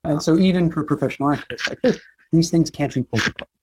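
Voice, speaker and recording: A man answering interview questions about history, measured and explanatory, often checking in with "right?". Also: on the high side, darkish tone, slight background noise. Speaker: historian guest.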